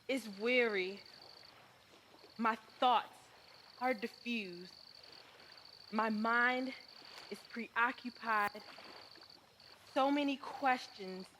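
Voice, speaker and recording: A woman performing a dramatic monologue as someone wounded and defiant who feels invisible, speaking deliberately and emphatically with pleading pauses. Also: on the high side, bright, forceful tone, slight background noise, heavily acted. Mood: weary, despairing.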